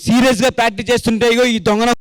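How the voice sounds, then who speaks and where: A man in a comic stage skit, protesting loudly. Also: very high-pitched, fairly even intonation, rapid-fire, no room sound, low-quality recording. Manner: loud, protesting. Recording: loud music over the voice, thuds.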